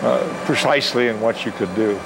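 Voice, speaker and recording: A man in his seventies talks through his answers, stopping for fillers and repeating himself as he goes. His pitch sits mid-range for a man. Steady background noise from the gym runs under him. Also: fairly quick, vocal fry. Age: 70s. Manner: rambling, with many uh fillers and repeated words. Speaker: interviewee, senior athlete. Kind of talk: casual interview answers.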